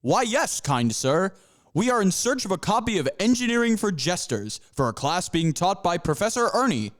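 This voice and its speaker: A man's voice: sits high, sing-song, moderate pace. A man voicing a pompous panther professor who lectures his students in grandiose, stretched-out phrases. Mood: lecturing. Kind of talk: amateur audio drama.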